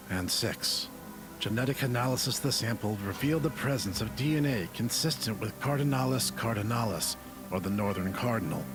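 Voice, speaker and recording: A man playing a detached researcher, narrating grim lab findings as a formal, measured horror report. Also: mid-range voice, close to an everyday voice. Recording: voice-over under clear background music. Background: music.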